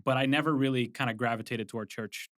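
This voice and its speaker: Young adult male guest, from Brazil, mid-pitched, casual storyteller, dry studio sound. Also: fast, dry recording. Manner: relaxed, rambling with restarts and asides. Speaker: guest, film composer. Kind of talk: casual interview chat.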